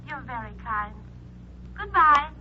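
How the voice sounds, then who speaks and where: A woman's voice: sits high, very animated, unhurried. A woman playing an anxious, meek, grateful mother in old-time radio drama style. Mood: anxious gratitude. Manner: meek, timid, polite. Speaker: actress playing a worried mother. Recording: tinny noisy vintage radio recording.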